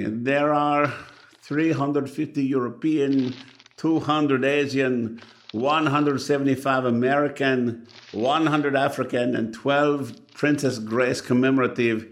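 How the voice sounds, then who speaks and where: An actor playing a gentle elderly stamp dealer in a radio drama, with a savoring, deliberate delivery. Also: male voice, mid-range voice, fairly even intonation. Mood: gentle, honest.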